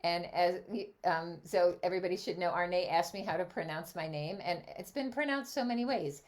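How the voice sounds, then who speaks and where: Casual chatty older woman, German first language, a bit low-pitched, rambling interview guest. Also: moderate pace, darkish tone. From Switzerland. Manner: chatty, rambling, full of asides. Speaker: guest songleader and teacher. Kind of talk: casual video chat interview.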